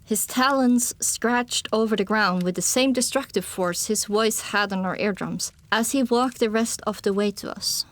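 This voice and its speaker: A woman reading fiction as an audiobook narrator, in an expressive first-person storytelling style. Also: medium pitch, moderate pace, hardly any pauses.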